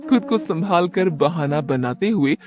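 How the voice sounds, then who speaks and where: A man narrating an animated moral tale in a scripted storytelling style. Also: on the high side, measured pace.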